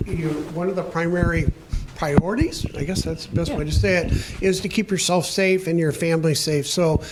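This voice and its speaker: High-voiced man explaining training. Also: heavy background noise. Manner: explanatory, rambling with restarts. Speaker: emergency preparedness trainer. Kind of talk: panel answering audience questions.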